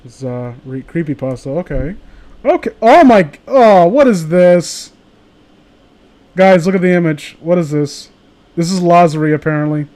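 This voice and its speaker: A man with a very high voice hosts a casual group chat on a stream. He rambles excitably and circles around his thoughts as he goes. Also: normal speed. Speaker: host of a live group stream.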